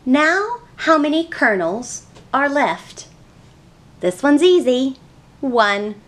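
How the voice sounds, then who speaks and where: A woman's voice: high-pitched, sing-song, slow pace, a bit bright. A young woman hosting a children's storytime, explaining things simply in a sing-song way to small children.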